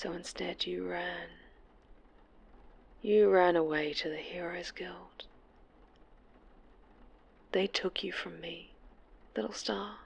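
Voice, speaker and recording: A woman playing an obsessive, possessive winged superhero in a horror ASMR roleplay, sweetly menacing and taunting, often slipping into breathy whispers. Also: on the low side, steady tone, slow, pauses often, exaggerated voice, low-quality recording. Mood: bitter, menacing.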